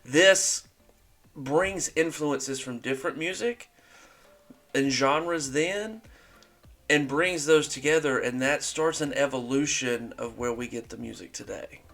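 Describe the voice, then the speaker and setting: A man's voice: mid-range voice, takes it slowly, gravelly, fairly bright tone. A man with an ordinary mid-range voice hosts a casual music reaction. He talks in an upbeat, chatty way, like someone sharing commentary with regular followers. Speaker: host of music reaction channel.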